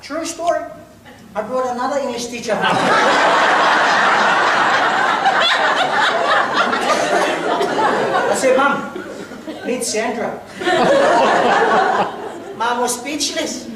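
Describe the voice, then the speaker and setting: Man, high voice, slow storytelling, comic speech contest, echoey hall from far off. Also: big pitch swings, a loud crowd, heavy background noise. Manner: telling a comic story to an audience with pauses for laughs. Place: large hall, public speaking contest. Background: audience laughter.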